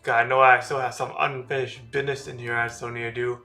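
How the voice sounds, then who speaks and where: A man's voice: mid-range voice, little pitch movement, fast, pushed and bright. A man streaming a video game, commenting casually in a rambling way with lots of fillers. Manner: rambling, with many fillers and restarts. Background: game music.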